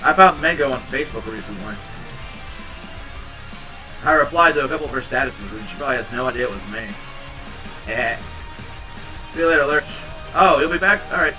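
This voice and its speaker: A man in his thirties hosting a home livestream, chatting casually with viewers in a rambling, low-key, tired way. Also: animated, a little fast, pauses often, bright, forceful tone, recorded from a distance, faint music behind, rough recording. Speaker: livestream host reading chat. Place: small home room indoors. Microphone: headset.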